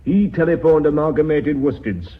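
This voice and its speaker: An actor with a British accent playing a combative, blunt board member in a radio play, speaking emphatically. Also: male voice, fairly high pitch, normal speed, natural voice. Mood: accusing. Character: forceful, blunt, combative male board member, fond of a bet. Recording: dull radio drama recording.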